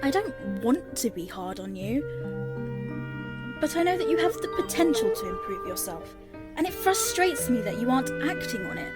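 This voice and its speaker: Woman as exasperated lady cat mentor, highish voice, cartoon parody, loud music behind. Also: very animated, moderate pace. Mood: stern, patient. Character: sensible, exasperated female talking cat mentor. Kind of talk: parody anime audio drama.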